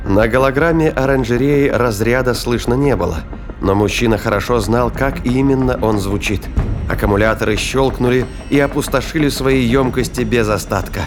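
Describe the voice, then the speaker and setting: A man giving scripted, dramatic narration for a science fiction audio drama. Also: medium pitch.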